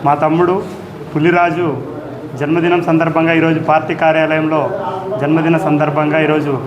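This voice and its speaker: A man gives a formal tribute at a quick clip. His fairly high voice stays flat and repeats its phrases, in a roomy echo. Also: muffled recording, crowd noise. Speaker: political party member. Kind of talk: formal birthday tribute speech. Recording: roomy echo, faint background noise.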